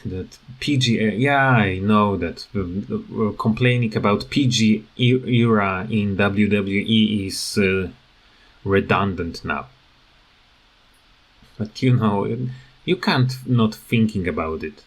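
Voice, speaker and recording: Slow, halting man in a casual rant. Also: fairly low pitch. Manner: halting, hesitant, repeats words. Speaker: video creator giving commentary.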